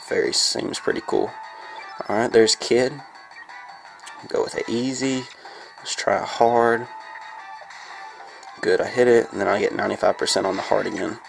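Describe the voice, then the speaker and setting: A man with a stuffy, sinus-blocked voice talks over his gameplay. His voice is lowish and gravelly, and he rambles with lots of ums and uhs. Faint game music plays behind him. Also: fairly even intonation, moderate pace, pauses often, low-quality recording. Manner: rambling, frequent fillers. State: congested from a sinus infection. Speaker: gameplay reviewer. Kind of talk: casual gameplay commentary.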